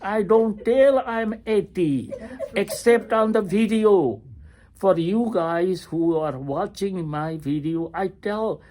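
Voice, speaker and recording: An eighty-year-old man records a video diary while waiting at a hospital. He speaks in a slow, halting way, full of pauses and fillers. His voice has a mellow, unhurried tone. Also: high-pitched, slight background noise. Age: 80s. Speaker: retired family physician.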